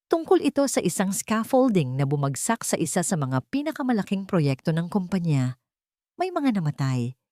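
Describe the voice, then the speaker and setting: A woman narrating a scripted dramatic story in deliberate, suspenseful short phrases. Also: on the low side, darkish tone, no room sound, studio quality.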